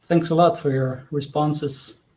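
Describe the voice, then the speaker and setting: A man with a Dutch accent presents to an online audience, his voice sitting a little high for a man. He speaks earnestly, posing questions to his listeners and pausing often with hesitations. It sounds like a remote talk picked up by a computer mic. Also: fairly even intonation, darkish tone. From Netherlands.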